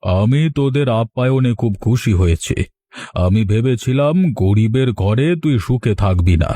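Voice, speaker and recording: A man playing a proud, measured village landlord and concerned father in a cartoon. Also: medium pitch, dark tone, dry recording, lightly acted. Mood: softened, measured. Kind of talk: cartoon voice acting.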